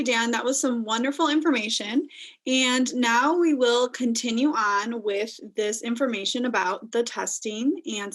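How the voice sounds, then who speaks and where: A woman's voice: a little high, fairly bright tone. A woman clinician presenting a webinar case, explaining test results in a methodical, step-by-step way over a video call.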